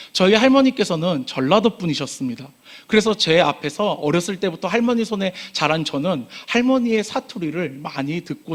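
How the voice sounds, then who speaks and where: High-voiced earnest young male pastor. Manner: earnest, rising emphasis on key phrases, falling to quiet line ends. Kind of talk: sermon. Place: church sanctuary.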